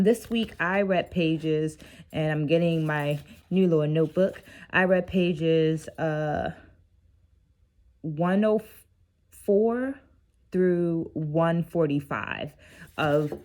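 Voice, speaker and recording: A middle-aged woman with a slightly low voice talks casually to her viewers. She sounds tired and reflective, explaining things like a teacher and checking in as she goes. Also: drawn-out words, pauses often, darkish tone. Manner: conversational teacherly, often checks in with "right?". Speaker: dance educator and video host. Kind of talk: casual reflective book-discussion vlog.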